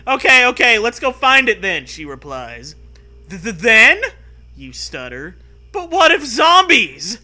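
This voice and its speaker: A man reading a comedy story aloud in an animated way, acting out the dialogue and bantering with casual swearing. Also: very high-pitched, a little slow.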